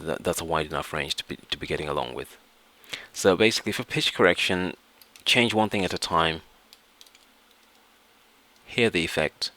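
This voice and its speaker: Guy with a really low but bright crisp-edged voice walking thru a software tutorial, repeats himself a bit. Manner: explanatory, often repeats and rephrases phrases. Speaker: tutorial presenter. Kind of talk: step-by-step software tutorial narration.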